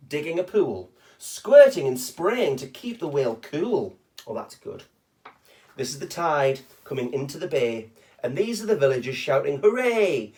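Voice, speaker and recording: A man's voice: a little high, a little mellow. A man reading a rhyming children's picture book aloud expressively, with short chatty asides to young listeners.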